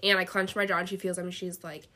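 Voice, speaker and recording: Bright-voiced, stuffy woman podcast host. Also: on the low side, level tone, quick pace. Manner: chatty, filler-heavy, quotes people animatedly. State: stuffy from a head cold. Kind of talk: casual conversational storytelling. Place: bedroom, sitting on a bed.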